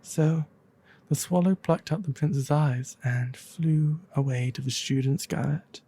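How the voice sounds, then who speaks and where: A man narrating a fairy tale in a soft, breathy ASMR storytelling style, gentle and theatrical, close to the microphone. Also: a little high, measured pace. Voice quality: soft, breathy, at times whispery.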